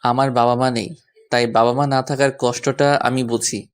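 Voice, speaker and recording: A man's voice: mid-range voice, little pitch movement, normal speed, lightly acted. A man playing a devoted, earnest young husband in a romantic audio drama. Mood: calm, sincere.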